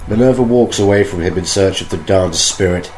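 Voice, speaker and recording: Very deep-voiced male narrator, roomy. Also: fairly quick, a little mellow. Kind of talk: read-aloud story narration. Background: music.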